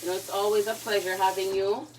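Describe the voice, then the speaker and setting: A woman's voice: mid-range voice, little pitch movement, fast, a little mellow, a little hiss or hum, low-quality recording. Chatty woman with a lilt. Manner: chatty, playful, addresses viewers directly. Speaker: cooking livestream host. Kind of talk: casual live cooking chat. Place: home kitchen.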